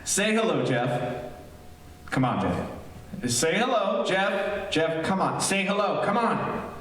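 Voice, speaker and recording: A man playing a jokey, skeptical broadcaster in an old-time radio drama, chatting in halting asides to his listeners. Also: high voice, very animated, fairly bright tone, exaggerated voice. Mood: jokey, nervy. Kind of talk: 1940s radio acting, live on-location commentary. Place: echoey hall, stage-style radio play performance. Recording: distant, very echoey room with faint noise.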